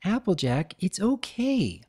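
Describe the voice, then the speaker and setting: A man voicing a cheerful, bookish young pony mare with a bright, friendly and precise manner. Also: high-pitched, huge pitch swings, slow pace, breathy edge, pitched above the performer's own voice, exaggerated voice. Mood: reassuring.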